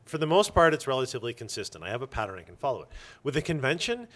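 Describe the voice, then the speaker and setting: A man's voice: medium pitch, very animated, very fast, a bit bright. A man giving long, emphatic explanations as a guest in a casual interview. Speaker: interview guest, comic shop owner.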